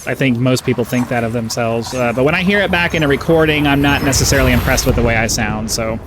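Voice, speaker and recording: A man's voice: medium pitch, fast talker. Nasal guy rambling while he plays, lots of uhs and ums, faint game hum behind. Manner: rambling, easily distracted, frequent fillers. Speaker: gaming channel host. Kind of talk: rambling gameplay commentary.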